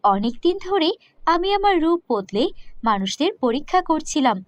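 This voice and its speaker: Kind magic fairy lady woman doing it, high voice, gentle n reassuring, fairy tale cartoon. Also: very animated, moderate pace, no echo, highs cut off. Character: kindly magical fairy woman rewarding goodness.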